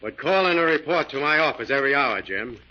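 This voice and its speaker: A man playing a gruff, exasperated police inspector in an old radio drama, blunt and slangy. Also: medium pitch, animated, fast, natural voice. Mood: blunt, irritated. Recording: dull lo-fi old radio recording.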